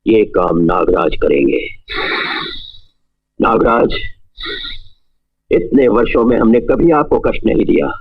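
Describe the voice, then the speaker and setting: A man's voice: on the low side, big pitch swings, slightly rough, exaggerated voice. A man playing a sinister, imperious snake-charmer guru, pronouncing his lines pompously in a melodramatic film spoof. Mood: ominous invocation. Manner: pompous, ominous, drawn-out pronouncements. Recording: dull lo-fi sound with music underneath.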